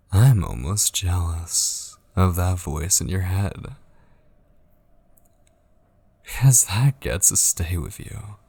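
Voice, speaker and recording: A man playing an obsessive, possessive kidnapper and coaxing lover in an intimate, whispery ASMR roleplay, husky and close to the mic. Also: deep voice, mellow, no room sound, exaggerated voice. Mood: jealous, possessive. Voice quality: husky, breathy, often dropping into whispers. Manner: slow, coaxing, possessive endearments. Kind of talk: intimate ASMR roleplay, scripted dramatic monologue. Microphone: close studio mic, dry.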